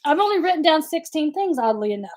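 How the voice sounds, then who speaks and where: A woman's voice: high voice, very animated, fast. A woman in her late forties co-hosting a casual live chat, talking candidly with plenty of joking. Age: late 40s.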